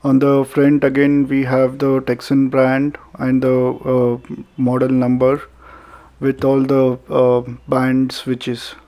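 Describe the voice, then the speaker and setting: Indian male reviewer, mellow and unhurried, hesitant delivery with lots of pauses, for a casual unboxing video. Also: medium pitch, monotone. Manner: halting, hesitant delivery with frequent filler pauses. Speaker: product reviewer.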